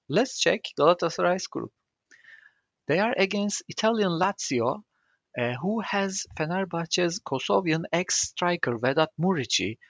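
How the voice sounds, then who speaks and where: Man, Turkish accent, young adult, slightly high voice, podcast host, careful non-native delivery. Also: fairly bright tone, dry recording. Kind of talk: scripted solo podcast.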